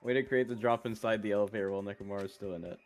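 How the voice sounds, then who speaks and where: A man's voice: lowish voice, steady tone, rapid-fire. Man casually streaming over game music. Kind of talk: casual live game commentary.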